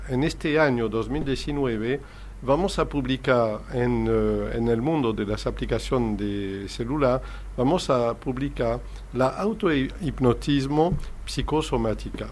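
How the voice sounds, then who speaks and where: An older man speaking Spanish as a second language, with a middle-range voice. He explains at length in an earnest, lecturing way, like a hypnotherapist being interviewed. A faint hiss sits under the recording. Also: mid-range voice. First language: not Spanish. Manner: earnest, explanatory, non-native Spanish phrasing. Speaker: hypnotherapist guest.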